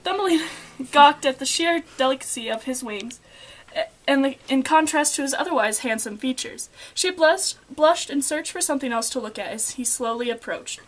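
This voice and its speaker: A woman's voice: high voice, moderate pace, low-quality recording. A young woman narrating a scripted fairy tale as storyteller, stumbling over words now and then as she reads.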